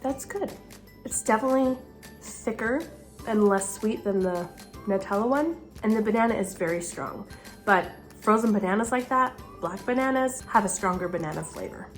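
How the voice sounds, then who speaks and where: Raspy-voiced young woman, sick and hoarse. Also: mid-range voice, normal speed, soft background music. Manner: chatty and upbeat. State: hoarse from illness. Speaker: vlogger. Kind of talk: casual recipe vlog. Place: living room at home, indoors.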